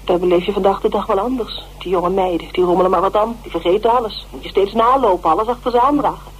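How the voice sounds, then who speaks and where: An actress playing a prim, loyal older theatre dresser in a radio drama, hesitant and disapproving. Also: female voice, medium pitch, quick pace, a little hiss or hum, natural voice, rough recording. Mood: indignant.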